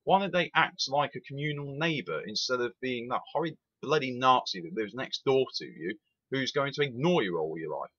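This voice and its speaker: A man's voice: medium pitch, normal speed, husky, highs cut off. A man, giving an opinionated, emphatic rant as a rail sim reviewer. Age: 20s. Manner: animated, emphatic, repeats itself.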